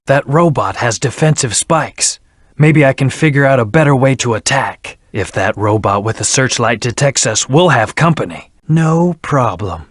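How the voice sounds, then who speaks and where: A man's voice: medium pitch, no room sound, clean studio sound. A man voicing a brooding, stern hedgehog antihero in a video game, speaking in a terse, serious, controlled way. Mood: stern, focused. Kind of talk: video game voice acting, scripted hints and battle lines.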